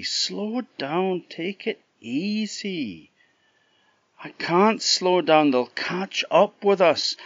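A high, bouncing voice for Jack, a cheerful young fool in a folk tale, as a male storyteller puts him on. He talks in an excitable, pleading way, leaping up and down in pitch. The sound is a little dull and dry. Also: no echo, exaggerated voice. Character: cheery humble young man, a self-styled fool.

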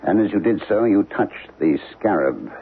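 An actor playing a troubled, earnest professor haunted by memories, speaking haltingly and intensely in a 1970s radio drama. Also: male voice, sits low, lively intonation. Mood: intense, dawning recall. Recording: muffled, noisy old radio broadcast.

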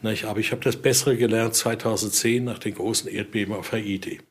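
A man gives an unscripted interview as an expert. His voice is fairly low and noticeably husky. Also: steady tone, fairly quick. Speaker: ethnologist and museum director interviewed. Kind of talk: spontaneous interview talk.

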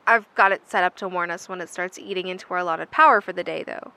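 Bright-voiced, careful bunker technician. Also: female voice, fairly low pitch, fast. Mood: cautious. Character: Frankie, careful, unsure bunker technician woman. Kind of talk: naturalistic horror audio drama acting.

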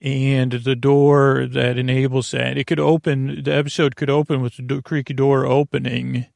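A man with a creaky voice hosting a sleep podcast, speaking in a soothing, meandering, halting way full of digressions. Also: mid-range voice, level tone, a little mellow, no echo. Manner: meandering, halting, digressive, stumbling over words; soothing, lulling. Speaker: podcast host. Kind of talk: rambling bedtime monologue to help listeners sleep.

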